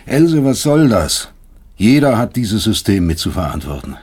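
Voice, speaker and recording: A low-voiced, husky man of middle age, a brooding professor in a radio play. He weighs his phrases like arguments, bitter and sardonic, leaning hard on key words. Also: very animated, darkish tone. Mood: accusing, emphatic. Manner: deliberate, rhetorical, emphatic, sardonic. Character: bitter, brooding East German male doctor and professor. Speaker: actor. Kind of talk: German radio drama acting, dialogue and inner monologue.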